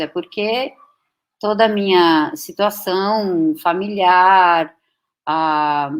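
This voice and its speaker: A woman nearing sixty who grew up speaking German at home speaks slowly and thoughtfully to an audience. She takes her time, pauses often and restarts sentences as she finds her words. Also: lowish voice. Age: 50s. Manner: slow, reflective, with many pauses and restarts. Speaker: guest speaker. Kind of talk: personal talk to an audience.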